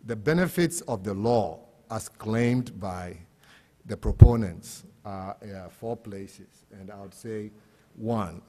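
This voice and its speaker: A man's voice: on the low side, mellow. A man from Ghana with a Ghanaian accent, giving an explanatory, plain-spoken panel presentation as an academic speaker. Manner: explanatory, plain-spoken, poses rhetorical questions. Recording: echoey hall with long reverb.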